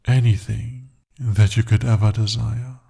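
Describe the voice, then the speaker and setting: A man voicing a breathy, possessive bound demon. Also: fairly low pitch, fairly even intonation, heavily acted. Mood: possessive, insistent. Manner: intimate, soft-spoken, slow and deliberate. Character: jealous, possessive male demon bound to a sorcerer. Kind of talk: ASMR roleplay.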